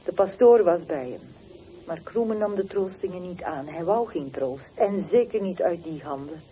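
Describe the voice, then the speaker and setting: An actress playing a bitter, wounded middle-aged woman on the telephone in a radio play, terse and reproachful with deliberate pauses. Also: on the low side, lively intonation, mellow, natural voice. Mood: grieving, intense. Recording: noisy old radio drama recording.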